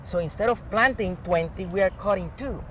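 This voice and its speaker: A man's voice: sits high, brisk, fairly bright tone. A man from Colombia with a Colombian accent and Spanish as his native language, explaining things earnestly as an interview guest. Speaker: professor and climate change expert, interview guest. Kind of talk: animated explanatory interview talk. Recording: outdoors, distant mic, clear traffic noise.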